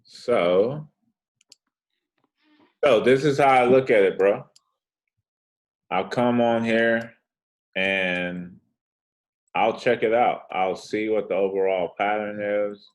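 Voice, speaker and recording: A man with a very deep, low voice and a soothing tone talks through charts in a relaxed, slangy way. He drifts into rambling asides as he goes, easygoing and conversational. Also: slow pace, long pauses. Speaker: forex trading host. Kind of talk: casual chart walkthrough.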